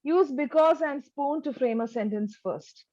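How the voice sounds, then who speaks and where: A woman with a high voice works as a speaking tutor in a one-to-one lesson. She gives instructions and models sentences with exaggerated rise and fall. The sound is close and dry, though a little muffled. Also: a little slow, mellow, no room sound.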